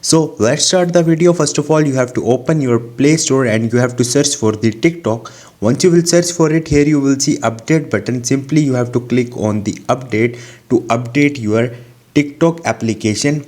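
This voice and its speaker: A man's voice: medium pitch, normal speed, darkish tone. A man narrating a short how-to tutorial in an instructional, step-by-step way, with non-native English phrasing. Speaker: tutorial host.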